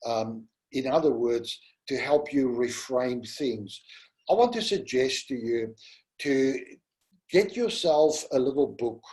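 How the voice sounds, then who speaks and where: A middle-aged man with a South African accent, first language Afrikaans, giving a gentle, pastoral webinar talk. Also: mid-range voice, low-quality recording. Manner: gentle and pastoral, addresses listeners as "my friend". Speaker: webinar presenter. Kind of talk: slow, earnest teaching talk.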